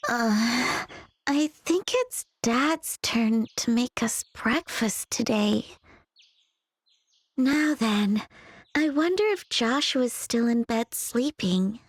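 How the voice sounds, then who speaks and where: A woman's voice: fairly high pitch, lively intonation, slow pace, dry recording. A woman voicing a cheerful teenage girl heroine in an English video game dub, bubbly and giggly.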